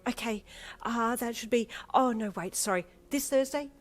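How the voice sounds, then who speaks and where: A woman narrating a story voices a nervous, chatty older woman who loses her thread mid-sentence. Her voice sits high and fussy, full of ums and apologies. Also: moderate pace, natural voice. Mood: flustered. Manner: rambling, indecisive, nervous.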